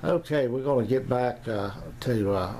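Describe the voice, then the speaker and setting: A man's voice: mid-range voice, warm tone. A middle-aged or older man giving a casual, unscripted equipment review as a hobbyist, rambling and hesitating often. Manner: halting, rambling delivery with long hesitations. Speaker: amateur radio hobbyist presenting his equipment. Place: small indoor home radio room. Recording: noisy home camera recording.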